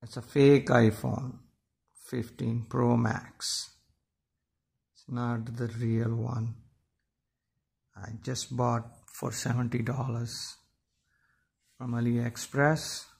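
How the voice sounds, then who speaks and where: A man giving an informal step-by-step tech tutorial, explaining in a halting, repetitive way. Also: mid-range voice, level tone, vocal fry, a little mellow, slightly rough. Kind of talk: informal step-by-step how-to narration.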